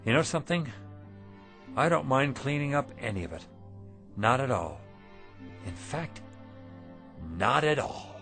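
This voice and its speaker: A man acting in a radio drama as a weary, wistful middle-aged shopkeeper husband, halting and rueful in manner. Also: mid-range voice, lively intonation, a bit bright, soft background music. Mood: relieved, wry. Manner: halting, self-doubting, rueful. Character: weary, wistful failing antique shop husband.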